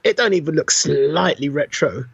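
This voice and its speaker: A man's voice: a little high, lively intonation, moderate pace, vocal fry, slightly rough, dry recording, lo-fi sound. A British man chatting casually as a podcast co-host, jokey and full of opinions. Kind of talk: casual chat.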